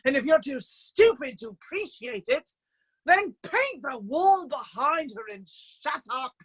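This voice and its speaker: A woman voicing a hot-tempered, sarcastic male art teacher in a stage monologue, ranting and cursing with loud, scornful exasperation. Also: sits high, big pitch swings, a little slow, no room sound, pitched above the performer's own voice, low-quality recording. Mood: exasperated fury. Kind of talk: acted quote within a stage monologue. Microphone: video call.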